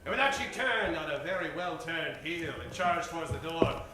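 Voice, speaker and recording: A man's voice: a little high, expressive, normal speed, background noise. A man reading scripted narration for a noir radio comedy. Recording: echoey, distant live stage recording. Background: faint music.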